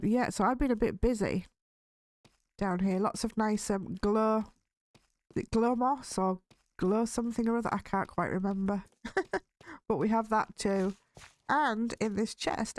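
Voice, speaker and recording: A woman with a slightly hoarse voice, talking through a game in a relaxed, chatty way and thinking aloud. Her voice sits at a middle pitch, and the recording is dry and close, with no room sound behind it. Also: no echo. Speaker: gaming video host. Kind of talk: casual let's-play commentary.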